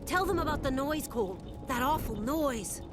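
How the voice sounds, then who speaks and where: A woman's voice: high voice, expressive, normal speed. A woman playing an anxious, shaken colonist farmer in a video game, tremulous and frightened. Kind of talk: cinematic sci-fi game dialogue. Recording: music under the voice.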